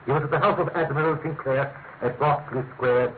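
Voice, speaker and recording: An actor playing a pompous, fussy middle-aged government official with a British accent, halting and emphatic, in classic radio mystery style. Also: male voice, a little high, fairly even intonation, natural voice. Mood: official, measured. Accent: British English. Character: pompous, fussy male senior government official, gravely insistent. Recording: tinny, narrow-band radio recording with hiss.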